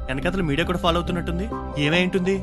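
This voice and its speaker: A man's voice: high-pitched, rapid-fire, music in the background, lightly acted. An actor playing a curious, chatty male onlooker in a film, speculating in casual colloquial speech.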